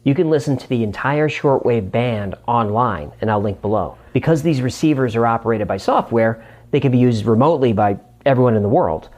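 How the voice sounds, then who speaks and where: A man's voice: mid-range voice, animated, fairly quick, darkish tone. A man hosting a show and narrating in a scripted explainer style.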